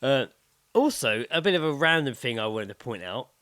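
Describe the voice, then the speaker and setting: A man's voice: medium pitch, normal speed, no room sound. A man co-hosting a podcast in casual, sweary banter, acting out what people said as he tells anecdotes. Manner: sweary, animated retelling with acted-out quotes. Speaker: podcast co-host.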